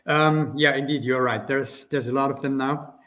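A man's voice: mid-range voice, fairly even intonation, a bit bright. Austrian-accented man, polite podcast guest. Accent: Austrian German. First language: German. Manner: polite and measured, frequent "uh" pauses. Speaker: company CEO, guest. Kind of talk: interview conversation.

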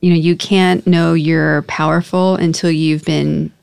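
Woman, American accent, podcast host, slightly low voice, dry close recording, conversational. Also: level tone, a little slow, vocal fry, darkish tone, clear, resonant tone, dry recording. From born in New Jersey, United States. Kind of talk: conversational interview.

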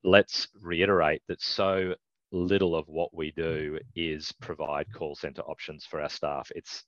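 Very low-voiced male guest, measured, dull and dry-sounding line. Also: dry recording. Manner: measured, explains in steady points. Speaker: guest, recruitment business owner. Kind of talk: casual business chat.

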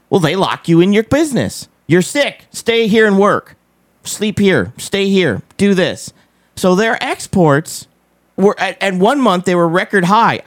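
A man hosting a casual live show, giving emphatic commentary on politics and economics with repeated phrases and a squeaky voice. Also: no echo, clean studio sound.

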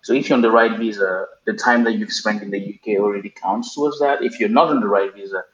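A man's voice: on the low side, fast talker, a bit bright. A man in his thirties from Cameroon, a podcast guest telling his story casually, with many ums and restarts. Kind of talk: casual interview answers. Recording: roomy, dull remote recording with faint noise.

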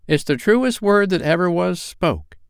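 A man voicing a meek, agreeable Victorian laundress who agrees in slow, drawn-out words. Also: high-pitched, expressive, creaky, clean tone, no room sound, clean studio sound, voice pushed up, heavily acted. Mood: agreeing.

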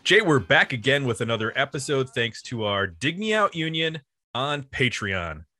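A man's voice: mid-range voice, very animated, moderate pace, pushed and bright. A man with a nasal Buffalo, New York accent hosting a casual music podcast in a joking, chatty, digressive way. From US East Coast. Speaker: podcast host.

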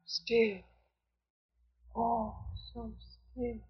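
A woman's voice: a little high, monotone, drawn-out words, a little hiss or hum. An actress playing a haunted young woman bound to a vampire, solemn and dreamy in old-time radio drama style. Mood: trancelike. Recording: muffled old radio recording.

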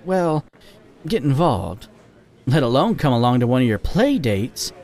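A man's voice: medium pitch, expressive, normal speed, darkish tone, lightly acted. A man voicing a flirty, free-spirited female animal character with a teasing, playful manner, as part of a story reading.

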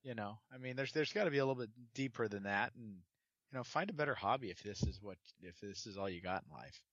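A man in a casual sports podcast rants and rambles, stumbling and repeating words as he goes. His voice sits at an ordinary pitch. The sound is dry, close and slightly dull. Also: animated, fast, a bit bright, dry recording. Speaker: podcast co-host.

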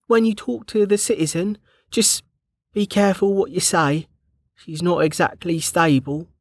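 A woman's voice: on the low side, steady tone, measured pace, husky, dry recording, clean studio sound, voice pushed up. A narrator voicing Bregger, a dutiful and anxious female guard who speaks carefully and earnestly.

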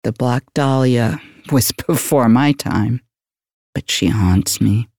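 Slow, haunted retired homicide detective. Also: male voice, mid-range voice, a little mellow, dry recording, studio quality. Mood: brooding, wistful. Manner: brooding, deliberate noir storytelling. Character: haunted, world-weary retired male Los Angeles homicide detective. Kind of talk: radio theater monologue.

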